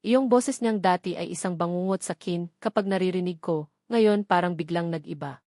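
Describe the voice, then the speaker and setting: A woman's voice: lowish voice, moderate pace, warm tone, dry recording, studio quality. A woman with a Filipino accent reading a scripted first-person romance story as an audiobook narrator.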